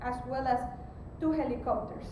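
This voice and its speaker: A woman giving an explanatory technical presentation as a conference speaker, pausing hesitantly mid-sentence. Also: steady tone. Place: conference room, recorded from a distance. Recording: very echoey, distant mic, rumbling background noise.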